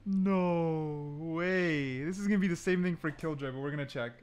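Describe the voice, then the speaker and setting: A man doing excited gameplay commentary, thinking aloud and often repeating himself. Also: a little high, normal speed, highs cut off, faint music behind. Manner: repetitive, exclamatory, thinking aloud. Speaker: gaming content creator testing game mechanics.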